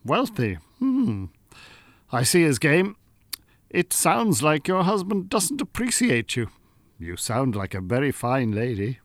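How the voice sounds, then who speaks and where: Smooth, unhurried Irish con-man. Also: on the high side, wildly swooping pitch, no room sound, exaggerated voice. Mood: unctuous, sympathetic. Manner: courteous, unctuous, soothing, professional. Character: smooth, calculating male con-artist hypnotist posing as scientist. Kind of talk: radio drama acting.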